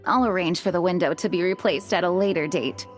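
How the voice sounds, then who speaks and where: A woman's voice: fairly low pitch, a little fast, lightly acted. A woman playing a dutiful, practical knight leader in a video game, composed and businesslike. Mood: calm, practical. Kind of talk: video game cutscene acting. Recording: music behind the voice.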